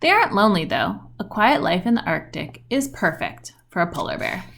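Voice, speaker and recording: A woman reading a children's book aloud in an expressive storytelling style with questioning intonation. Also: mid-range voice, brisk, vocal fry.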